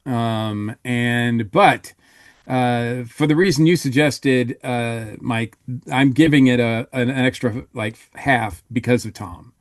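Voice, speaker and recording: A man's voice: mid-range voice, steady tone, slow, dry recording, clean studio sound. A man co-hosting a podcast, giving a casual review in a hesitant, rambling way.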